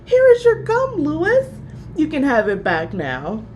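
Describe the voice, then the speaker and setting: A woman's voice: high voice, huge pitch swings, unhurried, darkish tone, slight background noise, pitched above the performer's own voice, exaggerated voice. Kindly schoolteacher, voiced by a woman reader. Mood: amused. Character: kindly, cheerful woman primary school teacher.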